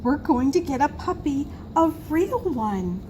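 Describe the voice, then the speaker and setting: A woman voicing a fancy, dramatic, excitable little girl from a picture book with expressive, emphatic delivery. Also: sits high, a little slow, pitched above the performer's own voice. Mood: eager, emphatic. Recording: noisy room recording.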